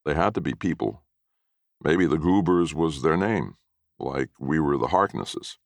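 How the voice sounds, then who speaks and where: Very deep, gravelly male storyteller. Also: animated, a little slow, dry recording. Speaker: story narrator. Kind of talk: expressive first-person short story reading.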